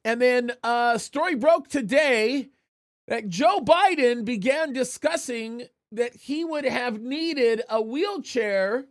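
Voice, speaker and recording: High-voiced chatty male host. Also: slow pace, no echo, clean studio sound. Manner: chatty, joking, reads viewer comments aloud. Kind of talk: live show chat. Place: studio.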